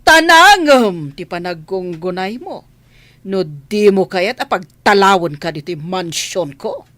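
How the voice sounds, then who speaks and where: A woman playing a haughty, threatening mistress of a mansion in a radio drama, imperious and deliberate. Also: mid-range voice, lively intonation, a bit bright, no room sound. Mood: angry, menacing.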